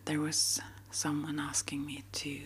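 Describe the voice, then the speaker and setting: A woman speaking in a gentle, hesitant, rambling way, as an ASMR creator chatting to her viewers. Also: deep voice, completely flat delivery, unhurried, slight background noise. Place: small upstairs apartment.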